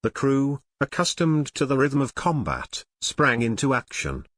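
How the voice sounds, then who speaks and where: A man giving scripted storybook narration of an audio drama in a measured, dramatic way. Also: mid-range voice, very animated, fairly bright tone, dry recording, studio quality.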